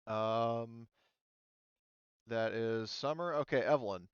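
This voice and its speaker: Clear-voiced male game master, slightly muffled mic. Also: mid-range voice, slow pace, dry recording. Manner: thinks aloud while looking up rules. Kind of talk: running a tabletop roleplaying game, casual explanation.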